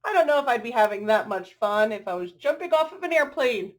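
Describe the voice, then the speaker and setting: Animated high-voiced woman reading a storybook aloud. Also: a little fast. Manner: animated, engaging listeners with questions and exclamations. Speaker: storyteller reading a picture book aloud to children. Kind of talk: expressive children's read-aloud with chatty asides.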